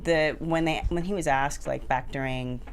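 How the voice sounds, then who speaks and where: A woman's voice: low voice, moderate pace, slight background noise. A woman chatting casually as a panelist, rambling and leaning on "like" as she talks. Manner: rambling, peppered with "like". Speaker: panelist on a TV discussion show. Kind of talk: casual chat.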